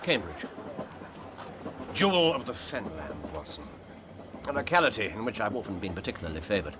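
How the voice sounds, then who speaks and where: A shrewd Victorian English detective, speaking with theatrical precision. His pitch swings widely as he reasons with assurance in period drama style. There is clear ambient noise behind him. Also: big pitch swings, bright, forceful tone. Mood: musing, reasoning. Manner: theatrical, deliberate, incisive. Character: shrewd, confident male Victorian private detective. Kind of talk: period radio drama dialogue. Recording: clearly noisy, ambient background.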